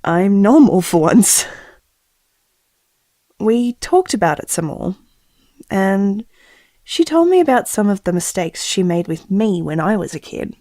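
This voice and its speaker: A woman's voice: medium pitch, big pitch swings, a little slow, long pauses, dark tone, dry recording, studio quality. An actress playing an anxious, tender expectant mother, confiding reflectively in an intimate audio drama monologue.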